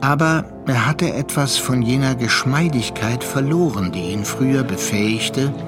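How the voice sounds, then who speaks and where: An actor narrating a literary radio play as a seasoned seafarer, reflective and measured. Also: male voice, mid-range voice, natural voice. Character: seasoned male seafarer recounting a troubled younger friend's story. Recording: studio radio play with music underneath. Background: music.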